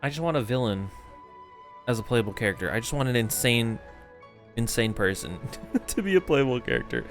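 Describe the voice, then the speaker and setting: A man's voice: medium pitch, very animated, fairly quick, faint music behind. A young man giving casual, joking live commentary as a game streamer, with lots of slang.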